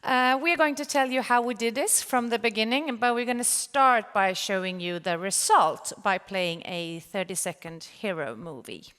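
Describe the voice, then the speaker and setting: A woman's voice: medium pitch, normal speed, few pauses, fairly bright tone, clear, resonant tone. A woman giving a prepared conference presentation. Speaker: conference speaker. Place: large conference hall. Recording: reverberant hall.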